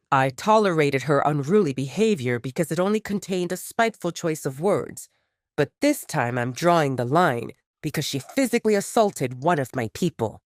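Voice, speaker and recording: A woman's voice: low voice, no echo, studio quality, voice pushed down. A woman voicing a commanding male werewolf pack leader, stern and authoritative, in a romance audio drama.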